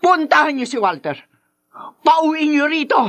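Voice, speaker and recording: An actress playing a cruel, haughty older matriarch of a rich family in a radio drama, imperious and scornful. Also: female voice, sits high, expressive, normal speed. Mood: scornful, commanding. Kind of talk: Tagalog radio drama acting.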